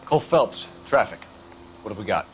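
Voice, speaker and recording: A man's voice: lowish voice, animated, moderate pace, fairly bright tone, slight background noise. An actor playing an earnest, driven police detective in a crime drama game, clipped and authoritative as he questions and instructs. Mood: businesslike. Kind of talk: scripted crime drama acting. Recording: music behind the voice.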